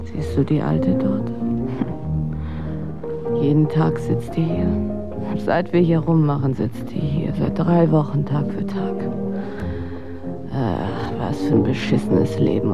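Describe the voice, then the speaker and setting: Radio drama: cynical, world-weary young woman, blunt delivery, creaky voice, loud music underneath. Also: deep voice, expressive, a little slow, heavily acted. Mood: weary, despairing. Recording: slightly muffled, loud music behind.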